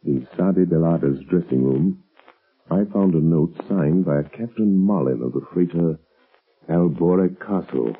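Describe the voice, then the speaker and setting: An actor playing a suave, mysterious crime-fighter with a measured, portentous delivery in a 1930s radio drama. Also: male voice, deep voice, slightly rough, full character voice. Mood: calm, resolute. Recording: muffled tinny 1930s radio broadcast recording.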